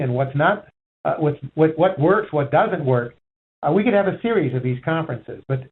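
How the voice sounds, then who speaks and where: Male call host, fairly high pitch, wordy and halting, thin telephone audio. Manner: halting, long rambling sentences. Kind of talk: discussion on a conference call. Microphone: telephone conference call. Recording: tinny narrowband phone line.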